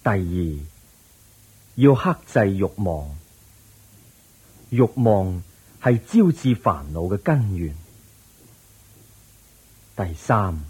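A serene, wise Buddha in a radio drama, preaching in Hong Kong Cantonese. The voice is low and very husky, unhurried and solemn, with drawn-out phrases. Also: male voice, darkish tone, exaggerated voice. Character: serene, wise Buddha patiently teaching his disciples.

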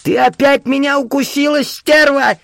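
A man voicing a nervous, aggressive old teacher in an audiobook, with a strained shouting voice and a snappish, threatening way of drawing out words. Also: very high voice, steady tone, moderate pace, creaky, dry recording, voice pushed up, heavily acted.

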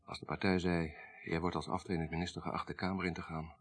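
An actor with a Netherlands Dutch accent playing an articulate, grieving professor in a radio crime drama, speaking precisely with dry irony. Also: male voice, sits low, flat delivery, quick pace. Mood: subdued, dry. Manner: precise, lecturing, dryly ironic. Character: grieving, articulate male professor, child of a murdered minister.

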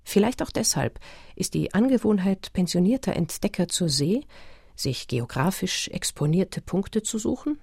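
A woman narrating a radio play in a measured, essayistic, literary manner. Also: on the low side, animated, vocal fry, a little mellow, slightly rough, dry recording, studio quality, heavily acted. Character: measured documentary narrator of explorer history.

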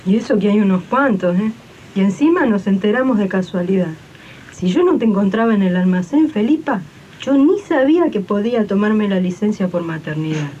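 A woman's voice: medium pitch, lively intonation, brisk, warm tone, lightly acted. A woman playing a cheerful, naive Argentine domestic worker in a 1980s radio drama, colloquial and joking in manner. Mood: animated. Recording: dull, noisy archive radio recording.

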